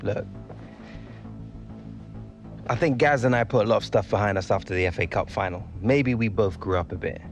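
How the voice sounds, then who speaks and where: A young English man acted for a game with a fairly low voice, a modest and loyal footballer. He speaks naturally, like everyday talk, with music behind him. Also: normal speed, pauses often, natural voice. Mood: thoughtful, composed. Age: young adult. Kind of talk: naturalistic game cutscene acting.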